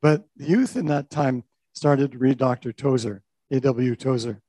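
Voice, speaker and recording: A man's voice: mid-range voice, steady tone, moderate pace, warm tone, no room sound. An older man, a pastor telling a personal testimony in a reflective, storytelling way. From Canada. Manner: reflective storytelling with small restarts.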